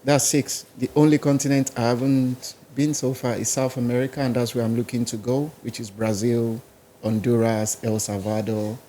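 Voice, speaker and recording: A man's voice: medium pitch, little pitch movement, moderate pace, warm tone, slight background noise. A man with a Nigerian accent, a musician guest in a podcast interview, talking in a rambling, casual way. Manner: rambling, casual, listing places and languages.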